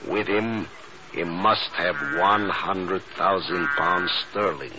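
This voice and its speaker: An actor playing a menacing Egyptian knifeman in a 1950s radio drama, speaking in slow, formal, ominous threats. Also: low voice. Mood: cold menace. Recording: noisy, muffled old radio recording.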